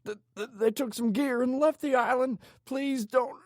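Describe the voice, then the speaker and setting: A man's voice: very high-pitched, moderate pace, dry recording, studio quality, pitched above the performer's own voice. A man voicing a terrified old man in an audiobook, stammering and pleading in agony. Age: older. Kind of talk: audiobook character dialogue.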